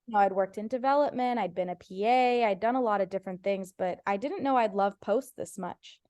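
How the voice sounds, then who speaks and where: A woman's voice: medium pitch, a little fast, darkish tone, muffled recording. A woman comedian from Ohio, whose first language was Farsi, chatting casually as a podcast guest, telling stories with playful voiced quotes. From United States (Ohio).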